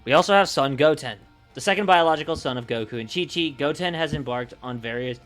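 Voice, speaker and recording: A man's voice: a little high, fast, a bit bright. A man livestreaming as a game master, reading out story summaries and chatting, often repeating and correcting his words. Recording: music playing behind the voice. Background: background music.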